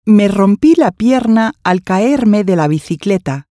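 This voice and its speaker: Female, soft mellow tone, animated intonation, clear enunciation, scripted lesson reading. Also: mid-range voice, takes it slowly, no echo, studio quality. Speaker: language course dialogue reader.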